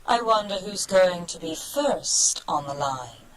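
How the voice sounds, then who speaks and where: An eerie, playful radio producer with a teasing singsong delivery, as a woman acts her out. Her low voice swoops widely, and she stretches every word out very slowly. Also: animated, a little hiss or hum, poor audio. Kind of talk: horror radio drama.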